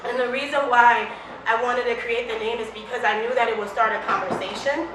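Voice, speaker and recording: Brief: animated brand-founder woman from Liberia, fairly high, recorded from across a reverberant room. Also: brisk, recorded from a distance, background noise. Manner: chatty, animated, with restarts and quoted asides. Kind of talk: casual interview chat.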